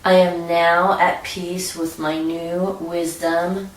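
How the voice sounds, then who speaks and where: A woman reads a guided meditation at a very slow, deliberate pace. Her voice sits on the low side. She sounds set back from the microphone in a roomy, echoing space. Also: flat delivery, a little hiss or hum. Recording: roomy echo, distant from mic.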